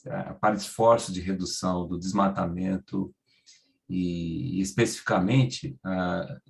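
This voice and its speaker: A man with a Brazilian accent speaks in a deep voice full of vocal fry. He gives an informal welcome to a webinar as a program director. His delivery is halting as he searches for words. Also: steady tone. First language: Portuguese.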